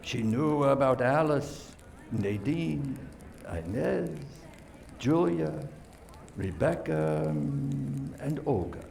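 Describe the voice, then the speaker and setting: Male actor as radio-play philanderer: gravelly, medium pitch, halting, self-pitying. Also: expressive, a little mellow, slight background noise, heavily acted. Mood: sheepish, pleading. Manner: halting, melodramatic. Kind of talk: community theater radio play acting.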